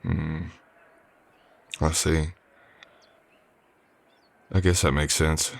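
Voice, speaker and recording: A male actor voices a drifter cowboy hired on as a ranch hand in an old west audio roleplay. His voice is low and soft, and he talks straight to the listener in a folksy, courteous way. He comes across as easygoing and a little unsure of his manners. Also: flat delivery, drawn-out words, vocal fry, exaggerated voice. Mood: thoughtful, curious. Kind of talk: ASMR roleplay acting, addressing the listener.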